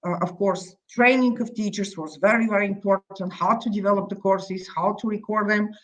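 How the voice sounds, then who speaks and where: A woman with a Bulgarian accent gives a conference presentation at a middle pitch. She speaks as a project coordinator, halting and repeating words as she stresses her points. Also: fairly even intonation, highs cut off.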